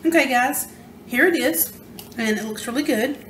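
A chatty woman in her thirties talks through a recipe in a roomy home kitchen, her slightly high voice caught by a camera mic across the room. She jokes at her own slips as she works, and pots and utensils clatter behind her. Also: moderate pace, recorded from a distance, a little hiss or hum. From American South. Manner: chatty and self-deprecating, talking while working. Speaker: home cooking vlogger. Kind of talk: casual cooking demonstration. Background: clinking pots, pans and utensils.

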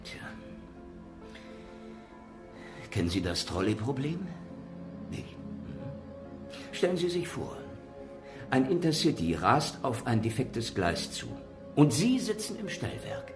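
An actor playing a rational, lecturing doctor with a measured, formal manner in a horror radio drama. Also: male voice, medium pitch, very animated, music in the background. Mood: probing. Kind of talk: German radio drama acting.

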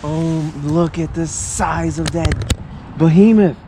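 Excitable man fishing outdoors. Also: on the high side, takes it slowly. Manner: excitable, talks to viewers as "guys". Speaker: fishing vlogger. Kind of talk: casual outdoor vlog narration. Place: outdoors by a marshy pond in woods. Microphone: body-worn action camera. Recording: outdoor camera with clear background noise.

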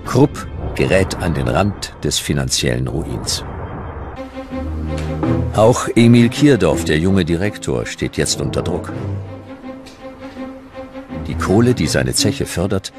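A man with a very low voice narrates a historical documentary from a script. His intonation moves widely, giving the measured delivery a dramatic storytelling feel. Music plays behind him. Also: sing-song.

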